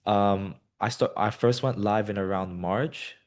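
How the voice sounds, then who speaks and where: A man's voice: lowish voice, unhurried. A man in his twenties from Colombia, answering interview questions casually with lots of ums and you-knows. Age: 20s. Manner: many ums and you-knows, relaxed and rambling. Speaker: guest, business student.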